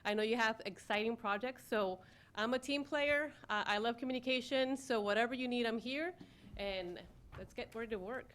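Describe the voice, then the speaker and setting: A woman's voice: fairly high pitch, normal speed, a bit bright, a little hiss or hum. A middle-aged woman from Ecuador and Florida, giving a self-introduction speech at a public meeting. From born in Ecuador, raised in Florida. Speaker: newly hired city communications director, former newscaster. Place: city commission meeting room.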